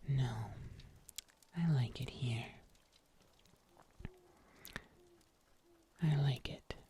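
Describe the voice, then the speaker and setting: A performer voicing a calm, world-weary werewolf huntsman in a breathy, hushed whisper for a fantasy ASMR roleplay, deliberate and full of pauses. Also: mid-range voice, a little hiss or hum, close to an everyday voice. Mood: calm, matter-of-fact. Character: world-weary pink-furred werewolf huntsman, monster slayer, calm loner.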